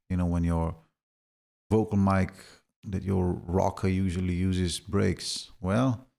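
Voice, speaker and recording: A man with a deep, boomy voice giving a relaxed, chatty gear review full of filler words. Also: creaky. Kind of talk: casual product review.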